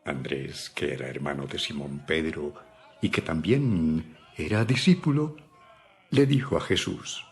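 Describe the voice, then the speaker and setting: A man reading scripted Bible narration with solemn, deliberate phrasing. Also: rumbling low. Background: background music.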